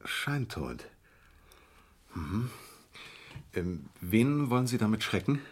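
An actor playing a discreet, polite and drily witty fixer in a vintage German crime radio play, with wordy, courteous phrasing. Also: male voice, lowish voice, sing-song, slow, slightly rough, heavily acted. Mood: sceptical.

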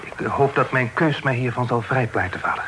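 An actor playing an earnest, deferential young son in love in a period radio drama, hesitant, polite and formal. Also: male voice, mid-range voice, moderate pace, pushed and bright, natural voice. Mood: respectful, nervous. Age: young adult. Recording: noisy old radio play recording.

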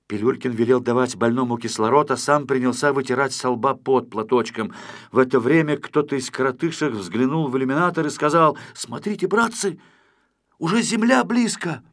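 A man's voice: medium pitch, fairly quick. A man narrating a children's story expressively as an audiobook reader.

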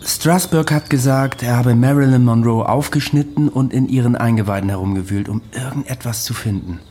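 Dark-voiced secretive watcher played by a man. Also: medium pitch, a little fast, close to an everyday voice. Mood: brooding, wry. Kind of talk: radio drama acting.

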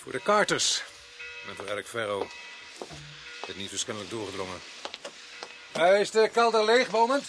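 An actor playing a dour, weary and dryly humane police sergeant in a radio drama. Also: male voice, mid-range voice, sing-song, moderate pace, long pauses, music in the background.

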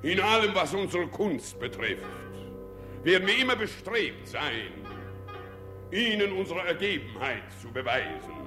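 An actor in a comic radio play voicing a pompous, ceremonious doctor and proud father, speaking in a grandiose, measured manner. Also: sits high, sing-song, music in the background. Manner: grandiose, measured, drops Latin words.

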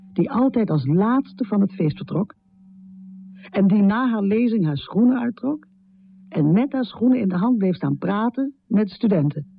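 An actress playing a troubled, confessional middle-aged woman poet in a radio drama, speaking intimately with a low, husky voice. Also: phone-quality sound. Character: troubled, confessional American woman poet, heavy drinker, death-obsessed. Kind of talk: radio drama monologue and poetry recital.

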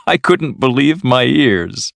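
Storybook gentleman, played by a male reader: excited, incredulous, pitched high, very lively. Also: slow, vocal fry, dry recording. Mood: excited, astonished. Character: excited, astonished English gentleman host. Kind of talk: storybook character voice.

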